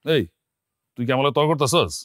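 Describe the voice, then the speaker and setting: Man playing a stern, quick-talking Bangladeshi uncle. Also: mid-range voice, natural voice. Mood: indignant, stern. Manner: accusing, interrogating, threatening. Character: stern, scolding elder uncle in a village family. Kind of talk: television family drama acting.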